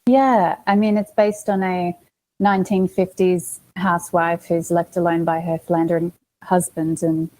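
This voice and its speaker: A woman with an Australian accent chatting casually as an interview guest, often pausing mid-thought as she talks. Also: on the low side, little pitch movement, mellow, rough recording. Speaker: interview guest, actress and producer.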